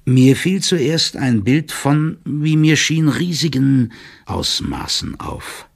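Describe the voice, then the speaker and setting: An actor playing a stout official who reads out a stiff, drily ironic report in an audio drama, in a gravelly, husky, measured voice. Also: male voice, a little high, lively intonation, exaggerated voice. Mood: uneasy, measured. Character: stout male official giving a stiff, wry written report.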